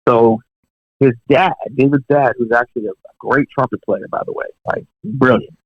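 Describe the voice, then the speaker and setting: A man's voice: mid-range voice, normal speed. Chatty young man telling stories. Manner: relaxed, chatty, many fillers and asides, chuckles while telling stories. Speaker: professional touring drummer, podcast guest. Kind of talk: casual storytelling in an interview. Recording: dull, dry lo-fi podcast audio.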